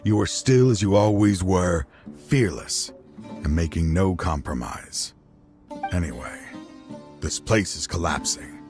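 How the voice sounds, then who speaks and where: An actor playing a dying older scholar in a video game, grave, weary and resolute. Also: male voice, a little slow, faint music behind. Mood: tender. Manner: grave, weary, low-spoken. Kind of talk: video game cutscene voice acting. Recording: game dialogue over loud score.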